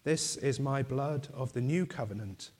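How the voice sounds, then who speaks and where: A man leading communion in a church, reciting liturgical prayers in a slow, solemn, measured way, his voice going. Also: mid-range voice, a little mellow. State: losing his voice.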